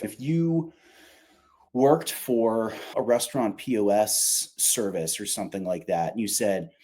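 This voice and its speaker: A man's voice: on the low side, a little mellow, husky. A man speaking as a company executive in a casual interview, emphatic, colloquial and opinionated. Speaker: company revenue executive, podcast guest.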